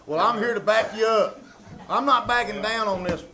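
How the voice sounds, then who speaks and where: A man's voice: sits high, normal speed, pushed and bright, slight background noise. A man acting a stubborn, cocky squabbler in live comedic banter on a small theater stage. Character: stubborn man insisting men are funnier. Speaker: troupe member. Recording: live theater with audible audience.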